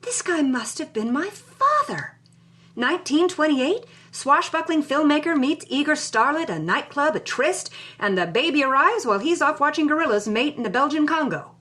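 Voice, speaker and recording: A woman's voice: high voice, sing-song, normal speed, pushed and bright, full character voice. A woman playing a plucky, jaded 1950s Hollywood starlet, narrating her own story in a wry, punchy noir style. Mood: startled realization. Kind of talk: first-person noir-style scripted narration.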